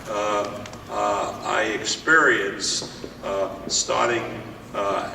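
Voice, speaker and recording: Older new york guy, gravelly slow talker, echoey hall, far off mic with noise. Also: mid-range voice. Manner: slow, reminiscing with many fillers. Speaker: boxing promoter. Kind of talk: press conference remarks. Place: theater press conference.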